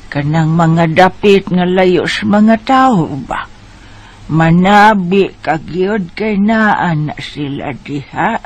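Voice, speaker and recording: A man with a Bisaya Filipino accent playing a solemn, admonishing village folk healer in a radio drama. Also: high voice. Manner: drawn-out, admonishing.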